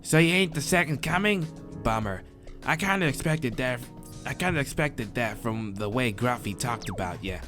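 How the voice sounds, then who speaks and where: A man's voice: medium pitch, lively intonation, moderate pace, music in the background, close to an everyday voice. A man voicing a laid-back, friendly surfer-dude moth monster in a visual novel, with slangy, drawling talk. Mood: laid-back, animated. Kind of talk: visual novel character read aloud.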